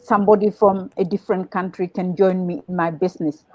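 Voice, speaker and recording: Woman guest, steady explainer, muffled dry mic. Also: fairly low pitch, brisk, dry recording. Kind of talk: casual chat. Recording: dull dry sound.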